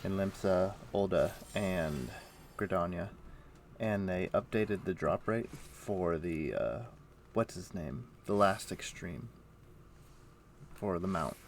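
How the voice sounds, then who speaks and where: A man's voice: sits low, lively intonation, takes it slowly, gravelly, a little hiss or hum. A man chatting casually as he streams a game, rambling and thinking aloud. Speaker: livestream host. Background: music.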